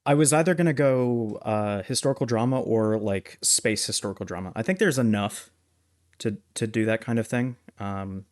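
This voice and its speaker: A man's voice: on the low side, moderate pace, a little mellow. A man co-hosting a podcast, chatting casually as he discusses films. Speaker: podcast co-host. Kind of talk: casual film review discussion.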